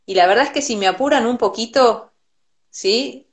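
A woman's voice: a little high, normal speed. Thoughtful Argentine woman on a call. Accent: Argentine Spanish. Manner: thoughtful, repeats words when restarting. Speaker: Ayurveda teacher, guest. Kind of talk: reflective conversational explanation. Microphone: video call.

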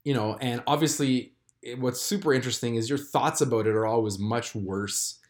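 Croatian-born guy in his 30s, solo podcast, kinda halting and thoughtful, lots of um and you know. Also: mid-range voice. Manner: reflective, halting with many fillers and restarts. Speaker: podcast host. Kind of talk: candid solo podcast confession.

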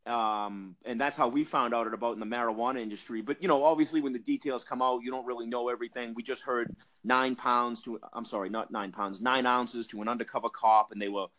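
A man's voice: medium pitch, steady tone, fairly quick, hardly any pauses, a bit bright. A man from Massachusetts with a Boston accent, telling an industry story in a casual podcast chat with lots of fillers and restarts. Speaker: cannabis industry guest.

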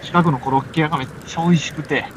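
A man's voice: on the high side, fairly even intonation, racing, dull audio, natural voice. A man with a Kansai accent playing an anxious, weary stranded climber in an amateur comic radio drama, earnest and exclamatory. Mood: wistful. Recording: noisy amateur recording.

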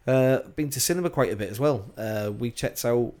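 A man's voice: mid-range voice, animated, a little fast, a little mellow. A man in his thirties co-hosting a podcast, talking in an easygoing, rambling way with fillers. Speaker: podcast co-host. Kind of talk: casual chat.

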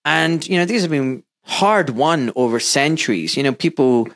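A man's voice: on the high side, animated, moderate pace, fairly bright tone. A man in his fifties from Glasgow with a mild Scottish accent, a surgeon telling his story earnestly and emphatically as an interview guest. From Glasgow, Scotland. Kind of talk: candid interview talk.

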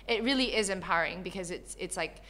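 A woman born in Malaysia who grew up in Canada, talking candidly and casually as an interview guest in an animated storytelling way. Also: medium pitch, fast. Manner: animated storyteller, acts out quoted dialogue.

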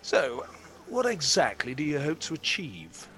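A man's voice: fairly high pitch, huge pitch swings, unhurried, fairly bright tone, a little hiss or hum, heavily acted. An actor playing a pompous, condescending beekeeping club stickler in an audio drama, patronising and pedantic in how he talks. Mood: sceptical, needling.

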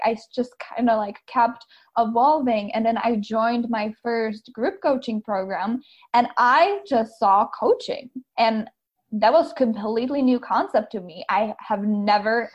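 Bubbly Czech-born woman guest, higher voice, muffled lo-fi sound. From Czech Republic. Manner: bubbly, laughs often, uses like a lot. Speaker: guest, coach. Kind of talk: casual interview chat. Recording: muffled lo-fi audio.

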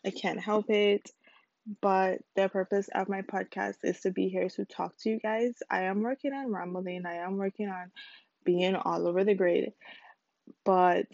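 A woman's voice: steady tone, a little slow, creaky, a little mellow, dry recording, lo-fi sound. Rambling, chatty young woman podcaster. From United States Virgin Islands. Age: 20s. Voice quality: squeaky. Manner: rambling, chatty, self-correcting. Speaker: podcast host. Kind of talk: casual solo podcast intro.

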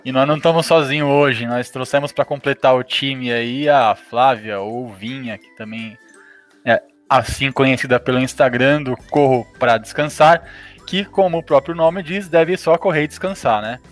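A man's voice: mid-range voice, normal speed, soft background music. A man hosting a casual podcast, upbeat and joking with his guest. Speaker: podcast host.

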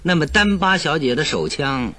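An actor playing a sharp, composed detective in a radio drama, speaking in a measured, questioning and authoritative way. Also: male voice, on the high side, very animated. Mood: pressing.

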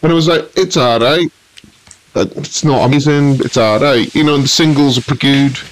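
Man, medium pitch, blunt, unimpressed, casual podcast chat, very dry sound. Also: fairly quick, no echo, poor audio. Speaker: podcast co-host.

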